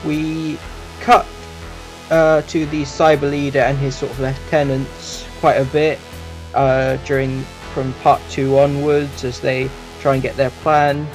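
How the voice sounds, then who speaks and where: A man giving an informal review commentary as a reviewer, in a conversational, somewhat hesitant and measured manner. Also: mid-range voice, level tone, faint music behind, low-quality recording.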